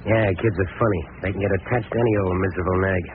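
A man playing a callous, shrewd American horse dealer, brisk and dismissive, in an old-time radio drama. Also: low voice, fairly even intonation, lightly acted. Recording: tinny, noisy old radio broadcast.

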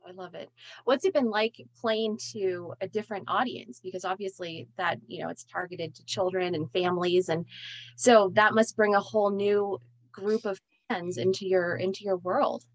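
A woman's voice: mid-range voice, fairly even intonation, moderate pace, no room sound, poor audio. A woman host asking questions in a casual interview, rambling and restarting her sentences. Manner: rambling, hesitant, many restarts.

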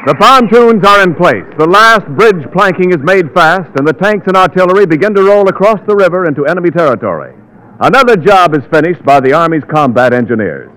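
Animated 1940s American radio announcer man. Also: a little high, low-quality recording. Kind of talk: 1940s radio advertising narration.

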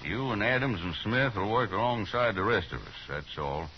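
Low, stern Western rancher. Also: male voice, animated, moderate pace. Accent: American. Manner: clipped, firm, terse. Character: stubborn, stern cattle rancher, adult man. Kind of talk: 1950s radio Western acting. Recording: muffled, noisy 1950s radio recording.

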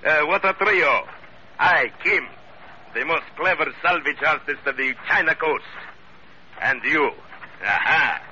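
Suave, sharp-voiced smug pirate salvage boss. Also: male voice, fairly high pitch, a little slow, pauses often. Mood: smug, flattering. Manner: suave, ingratiating, wordy and formal. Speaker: radio drama actor. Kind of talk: old-time radio adventure acting. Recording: dull, noisy vintage radio recording.

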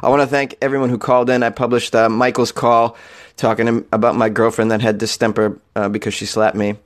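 A man's voice: mid-range voice, little pitch movement, fast. A young man hosting a comedy podcast, talking loosely and joking, with frequent laughs. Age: young adult. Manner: joking, laughs often, playful. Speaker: podcast host. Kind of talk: casual comedic monologue.

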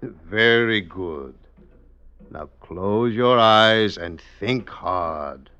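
Coaxing radio-play villain, low voice, slow delivery, folksy, muffled old recording. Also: male voice, sharp tone, full character voice. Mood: coaxing, sly. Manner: coaxing, halting, old-fashioned folksy speech. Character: scheming murderous man feigning kindness to a trusting lad. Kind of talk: 1950s radio drama acting.

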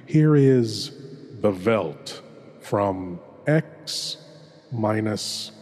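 A man hosting a horror show with theatrical, ominous scripted narration and dramatic pauses. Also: on the low side, expressive, a little mellow. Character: ghoulish horror host persona. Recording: long reverb, hall-like.

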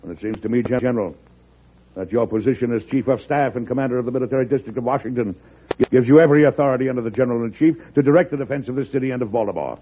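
An actor playing a weary, resolute American president in an old-time radio drama, deliberate and plain-spoken. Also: male voice, mid-range voice, slight background noise, close to an everyday voice. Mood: exasperated, firm. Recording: muffled, tinny old radio broadcast recording.

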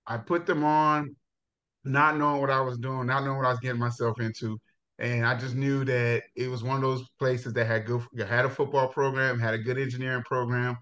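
A middle-aged man from Jamaica, a high school football coach, telling his life story in a relaxed interview with laughs and restarts. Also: a little fast. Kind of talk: casual interview. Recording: dull lo-fi sound.

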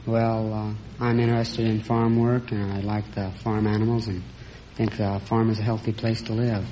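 A man's voice: lowish voice, level tone, moderate pace, mellow. Earnest teenage boy on muffled old radio. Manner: earnest, brief plain answers. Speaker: schoolboy quiz show contestant. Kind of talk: guest answering questions. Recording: dull vintage radio recording, slight noise.

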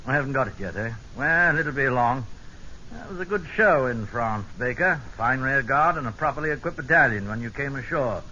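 Lively, clipped British army general, old radio. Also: male voice, medium pitch, fairly quick. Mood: brisk, hearty. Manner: clipped, hemming, hearty upper-class officer talk. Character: brisk, jovial senior British army general, clubbish. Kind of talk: old-time radio drama acting. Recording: dull, noisy vintage radio broadcast.

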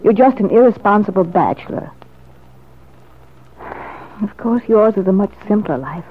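A woman's voice: medium pitch, expressive, normal speed, husky. An actress playing a determined, anxious married woman in an earnest, dramatic old radio soap opera style. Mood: discouraged, emphatic. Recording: old muffled radio recording.

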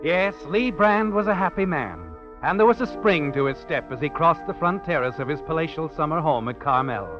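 An actor voicing a sardonic American storyteller of sinister crime tales, knowing and dramatic, in 1940s radio suspense style. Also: male voice, fairly high pitch, a little fast, hardly any pauses, natural voice. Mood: knowing, ominous. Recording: muffled vintage radio recording. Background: dramatic music.

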